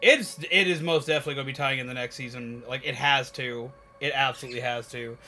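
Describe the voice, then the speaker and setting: A man livestreaming, chatting casually and rambling to viewers in an animated, emphatic, opinionated way. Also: mid-range voice, quick pace, faint music behind. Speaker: live streamer. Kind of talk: casual rambling chat with viewers while gaming.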